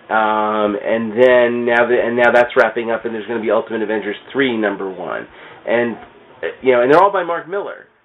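A man chatting casually on a comics podcast in a rambling, halting way, often repeating words as he goes. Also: medium pitch, slight background noise. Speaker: podcast speaker discussing comics. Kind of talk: casual chat. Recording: thin phone-like radio sound.

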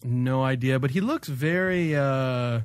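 Man, mid-pitched voice, dry close sound, informal joking co-host. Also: measured pace, smooth, no echo. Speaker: podcast co-host. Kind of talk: casual chat.

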